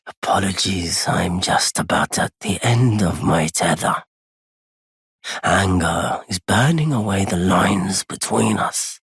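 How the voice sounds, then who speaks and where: An actor playing a weary, courteous wandering merchant in a video game, with a husky, breathy, gravelly voice and measured, formal speech. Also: male voice, low-pitched, dry recording, exaggerated voice. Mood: grave, menacing. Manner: measured, hushed, formal wording. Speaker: merchant character. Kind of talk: dramatic video game voice acting.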